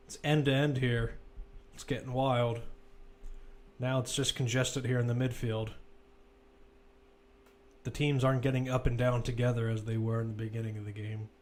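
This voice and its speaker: A man's voice: medium pitch, flat delivery, moderate pace, long pauses. A man giving live football play-by-play commentary, describing the action as it unfolds in short, clipped phrases. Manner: running present-tense description in clipped phrases.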